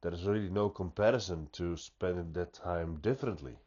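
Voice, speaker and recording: A man with a stuffy nose giving an unscripted, reflective monologue, speaking deliberately and often restarting his sentences. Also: sits low, darkish tone, muffled recording. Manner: slow, deliberate, thoughtful, with frequent restarts. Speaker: pipe-smoking vlogger.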